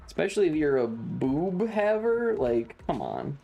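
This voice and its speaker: A woman livestreamer chatting casually over a video game in a blunt, sweary, deadpan manner, with game music behind her. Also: sits low, measured pace, vocal fry, breathy edge. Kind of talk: casual game streaming chat.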